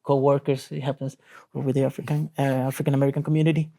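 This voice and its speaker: A man's voice: mid-range voice, level tone, very fast, gravelly, warm tone. A man in his forties from Rica, a Spanish speaker, talking earnestly and plainly as a guest in a discussion. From Costa Rica. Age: 40s. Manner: earnest, plainspoken, loose grammar. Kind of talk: casual discussion.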